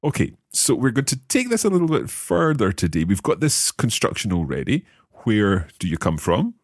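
A man's voice: fairly low pitch, huge pitch swings, moderate pace, husky, no room sound, studio quality. A man from Scotland hosting a podcast language lesson, speaking carefully and repeating phrases aloud. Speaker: language learner and podcast host.

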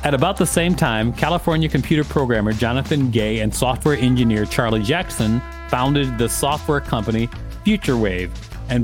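Male co-host reader: mid-pitched, informative read with casual asides, light music underneath. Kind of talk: reading an encyclopedia article aloud with casual asides.

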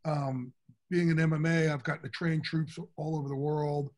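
Big-voiced, lively man, fairly high. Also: moderate pace. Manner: big-voiced, enthusiastic, from the heart. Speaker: interview guest. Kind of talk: casual interview talk.

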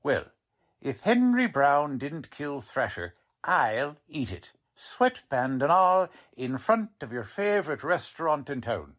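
A man's voice: on the high side, very animated, slow, fairly bright tone, heavily acted. A man playing a stubborn, cocksure working-class police lieutenant in an old-time radio drama, talking in tough, ungrammatical slang. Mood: cocksure, blustering.

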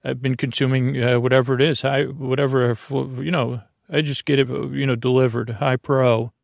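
A man hosting a bedtime podcast, rambling in a meandering, halting monologue that often trails off mid-thought and has a creaky quality. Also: mid-range voice, flat delivery, dry recording, studio quality. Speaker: podcast host. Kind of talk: rambling bedtime monologue meant to lull listeners to sleep.

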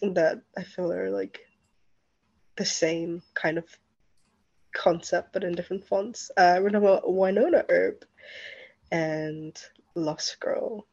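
A young woman co-hosting a podcast in casual chat, sounding tired and relaxed, recorded without her usual microphone. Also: lowish voice, slow, pauses often, breathy edge. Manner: tired, relaxed, lots of fillers. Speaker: podcast co-host. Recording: dull lo-fi mic sound.

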